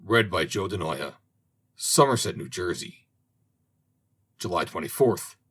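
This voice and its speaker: Man, husky voice, lowish pitch, lively rising and falling reading, storybook narration. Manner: slow, expressive reading. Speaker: audiobook reader.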